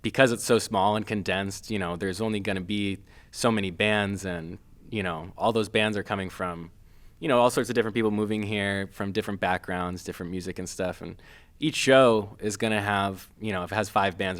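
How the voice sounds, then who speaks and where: A man from Massachusetts, a filmmaker guest, talking casually with many pauses, fillers and restarts. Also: deep voice, fairly bright tone. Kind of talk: casual interview.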